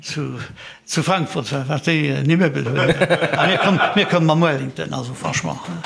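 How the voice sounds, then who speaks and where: A man's voice: a little high, brisk, creaky, fairly bright tone, slightly rough. An older man with a Luxembourgish accent, chatting casually as an interview guest, rambling through memories and slipping French words into his talk. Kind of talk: casual reminiscing conversation.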